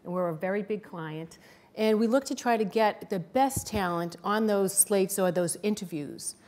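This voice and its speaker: A woman's voice: medium pitch, normal speed, a little mellow. Woman, thick boston accent, middle-aged, candid panel talk. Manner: candid, plain-spoken.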